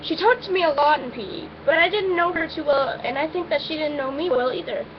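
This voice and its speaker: A woman's voice: high-pitched, fairly quick. A woman reading a first-person story aloud in an informal, amateur way, now and then stumbling over her words. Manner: informal, stumbles and breaks off with asides. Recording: muffled, noisy amateur recording.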